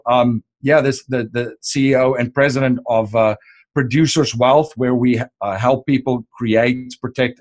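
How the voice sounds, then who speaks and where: South African man, 30s, relaxed interview guest, meandering answers full of fillers. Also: medium pitch. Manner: rambling, many fillers and restarts. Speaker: podcast host and wealth strategist guest.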